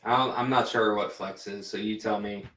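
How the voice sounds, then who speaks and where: A man's voice: lowish voice, steady tone, moderate pace, bright, forceful tone. A man hosting an interview, a dentist chatting casually in a blunt, teasing way with frequent restarts. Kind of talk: casual livestream interview chat. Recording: roomy echo.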